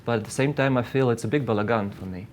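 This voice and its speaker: A man's voice: mid-range voice, quick pace, a little hiss or hum. A man from Russia, answering plainly as a guest on a news panel. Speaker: studio guest. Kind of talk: casual interview chat.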